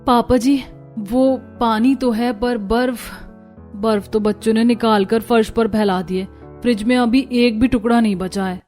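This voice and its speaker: An exasperated, overworked young housewife voiced by a woman in a Hindi cartoon. She complains in a put-upon way with a fairly high voice, over background music. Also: fairly even intonation, measured pace, a little mellow, natural voice. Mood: defensive. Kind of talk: Hindi cartoon story voice acting.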